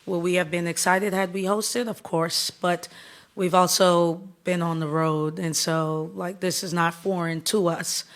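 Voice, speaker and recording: Conversational woman coach, slightly low voice. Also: fairly even intonation, a little slow. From Bahamas. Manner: upbeat, conversational, frequent fillers. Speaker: head basketball coach. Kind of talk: press conference answers.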